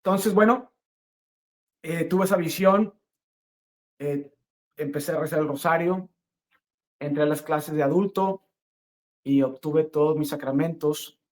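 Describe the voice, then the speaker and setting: A middle-aged man giving an earnest, spontaneous personal religious testimony, speaking haltingly and repeating phrases for emphasis. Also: fairly high pitch, darkish tone.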